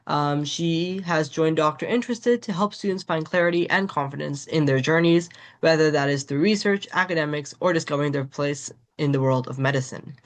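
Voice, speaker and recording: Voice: mid-range voice, fairly quick. Webinar host, mid-range voice, formal scripted intro, video call. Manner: reads prepared remarks, formal.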